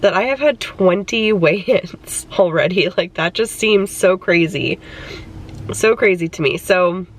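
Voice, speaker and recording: A woman's voice: fairly low pitch, measured pace, a little hiss or hum. A young adult woman vlogging casually about her weight loss, her voice stuffed up from a cold, chatty and full of fillers. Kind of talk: casual chat.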